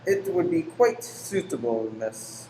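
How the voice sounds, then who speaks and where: Man acting weary, pious gentleman lodger, roomy echo. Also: fairly high pitch, animated, slow pace. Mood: pious, solemn. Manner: formal, exacting, abrupt then apologetic. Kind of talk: radio play acting. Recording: roomy echo with faint background noise.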